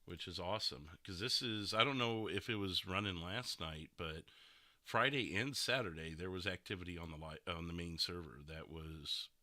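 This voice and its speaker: A man's voice: sits low, moderate pace, sharp tone, dry recording. A man hosting a podcast in casual chat, with hesitant, rambling phrasing. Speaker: podcast host.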